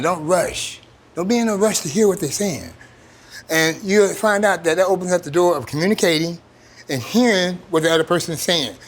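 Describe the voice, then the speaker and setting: A man speaking as a trainer in an informal workshop, plain-spoken and repeating phrases for emphasis. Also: high-pitched. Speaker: trainer, program coordinator.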